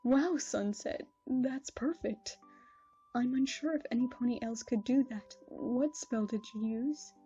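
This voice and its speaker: A woman's voice: a little high, measured pace, dark tone, faint music behind, lightly acted. A woman voicing a kind, motherly pony princess who speaks in a composed, formal way, in a lo-fi recording. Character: gentle, regal adult pony princess, motherly mentor.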